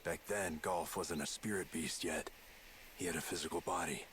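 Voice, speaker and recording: An actor playing a guarded, plain-spoken spy in a video game, with a gruff, casual, clipped delivery. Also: male voice, deep voice, steady tone, moderate pace, a bit bright, a little hiss or hum. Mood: laconic, reflective.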